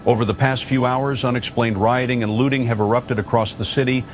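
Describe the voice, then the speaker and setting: Male news anchor over faint rumble. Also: steady tone, fairly quick. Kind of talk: scripted dramatic news bulletin. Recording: faint rumbling background noise.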